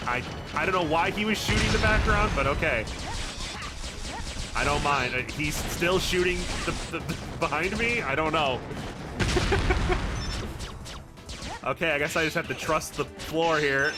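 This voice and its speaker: A man's voice: a little high, measured pace, pauses often. A man giving casual, sarcastic gameplay commentary with some grumbling and swearing, as a streamer would. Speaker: video game streamer. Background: game music.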